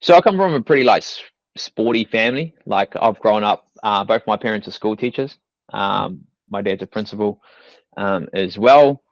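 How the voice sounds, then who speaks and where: A young man with a New Zealand accent talks through his background in a loose, rambling way, full of "like" and "you know". His voice sits low. The sound is dry and a little dull, with no room echo. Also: animated, moderate pace. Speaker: guest, fitness coach. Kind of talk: casual podcast interview.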